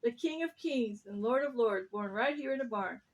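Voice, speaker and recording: A big storybook rhinoceros, acted by a woman with a fairly high voice. The creature is naive and proud, speaking with earnest wonder. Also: expressive, moderate pace. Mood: awestruck.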